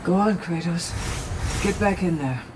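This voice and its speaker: A woman's voice: lowish voice, measured pace, noisy background, natural voice. An actress playing a proud, tough but tender valkyrie woman in a video game, blunt and clipped in phrasing. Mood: stoic. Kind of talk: video game cutscene acting.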